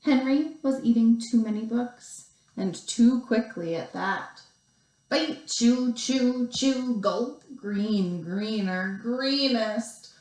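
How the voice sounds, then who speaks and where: A woman teacher reading a picture book aloud to young children, expressively, with deliberate pauses and stretched emphasis. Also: fairly high pitch, a little mellow. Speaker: second grade teacher. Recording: roomy, echoey sound.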